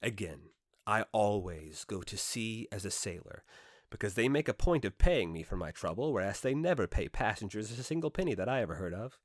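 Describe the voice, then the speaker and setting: A man reading a classic first-person novel aloud as an audiobook narrator, with theatrical, emphatic phrasing and dramatic pauses. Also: on the low side, sing-song, no echo, clean studio sound.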